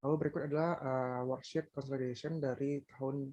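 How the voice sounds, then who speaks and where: A young man with an Indonesian accent reading out an academic presentation as a student, haltingly, stumbling over English technical terms. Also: medium pitch, steady tone, warm tone. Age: young adult. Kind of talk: scripted academic presentation, read aloud.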